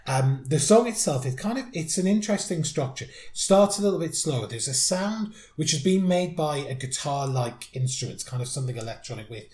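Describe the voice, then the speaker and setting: A man's voice: a little high, expressive, fairly quick, hardly any pauses, a little mellow. A man co-hosting a podcast, chatting thoughtfully about songs, with frequent restarts. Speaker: podcast co-host. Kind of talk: casual chat reviewing songs. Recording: roomy echo.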